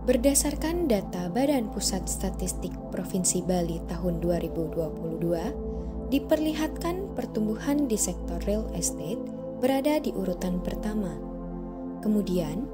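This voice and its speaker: A woman reading scripted documentary narration in a measured, formal manner. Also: mid-range voice, expressive, over loud music.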